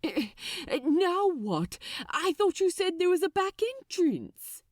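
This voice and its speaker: A woman's voice: very high-pitched, big pitch swings, slow, a little mellow, no echo, clean studio sound, pitched above the performer's own voice, heavily acted. A woman voicing an anxious, prim male angel in an audiobook, with a fussy, flustered and formal manner.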